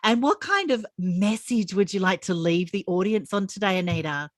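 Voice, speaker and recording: A woman's voice: mid-range voice, lively intonation, normal speed, no room sound, clean studio sound. A woman hosting a podcast interview over a video call, speaking emphatically and enthusiastically into a desk mic. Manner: warm praise, enthusiastic, emphatic. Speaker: podcast host. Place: bright room with large windows.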